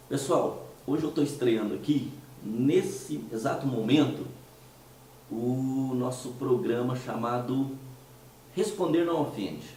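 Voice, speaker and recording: Hoarse mineiro man in an echoey room. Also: medium pitch, dark tone, far from the mic, slight background noise. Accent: Mineiro Brazilian Portuguese. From Minas Gerais, Brazil. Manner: conversational, hesitant, with restarts and rhetorical questions. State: hoarse from a cold. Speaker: host of a commentary show. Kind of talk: casual monologue commentary.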